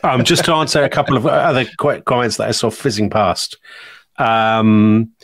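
A man's voice: mid-range voice, steady tone, normal speed, a bit bright, no room sound. A middle-aged man co-hosting a podcast in casual, chatty conversation, wry and fond of tag questions. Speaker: podcast co-host. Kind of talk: casual chat, reading listener comments.

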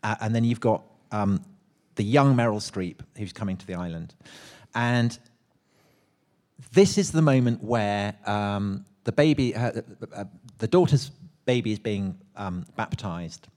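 A man co-hosting a live talk, speaking in an animated, emphatic way with mock indignation. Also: lowish voice, unhurried. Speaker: podcast co-host at a live show. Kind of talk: lively live conversation.

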